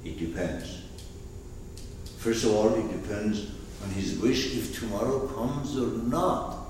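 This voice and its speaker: A man's voice: lowish voice, expressive, slow pace, a little mellow, a little hiss or hum. A man with an Arabic accent explaining terms to a class, rambling and asking the room questions. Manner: digressive, asks the room questions. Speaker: teacher. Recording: roomy, far from the mic.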